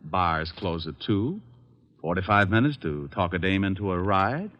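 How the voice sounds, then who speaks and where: An actor playing a wry, unflappable private detective who also narrates, in the style of an old-time radio drama. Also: male voice, deep voice, lively intonation, muffled recording. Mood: wry, reasoning. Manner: wry, glib, quick with dry wisecracks. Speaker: lead actor and first-person narrator. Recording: vintage radio recording with music.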